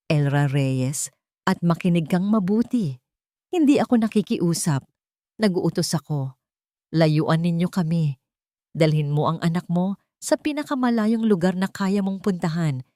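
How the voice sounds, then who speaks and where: A woman voicing a rich older matriarch in a story, calm, icy, mocking and threatening. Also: on the low side, measured pace, long pauses, no room sound, clean studio sound. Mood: menacing. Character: cold, contemptuous rich older matriarch, menacing and haughty.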